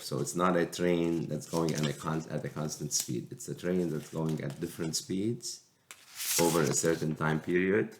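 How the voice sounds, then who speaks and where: A man's voice: a bass voice, gravelly. A man with a cold teaching a recorded calculus lecture, explaining step by step with frequent restarts. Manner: slow, halting explanation with restarts. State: sick with a cold. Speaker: math teacher.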